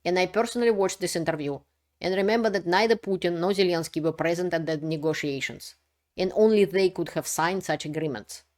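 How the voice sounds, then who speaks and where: A woman's voice: on the low side, a little fast, darkish tone. A woman giving earnest, insistent political commentary in non-native English, with frequent restarts. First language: not Ukrainian. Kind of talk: unscripted commentary to camera.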